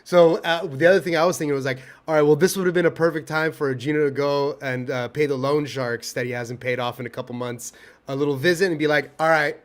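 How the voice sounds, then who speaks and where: A man on a casual podcast, a touch higher-pitched than most men. He swears constantly and jumps on his co-host with animated, teasing jabs, laughing between lines. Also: quick pace. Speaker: podcast guest.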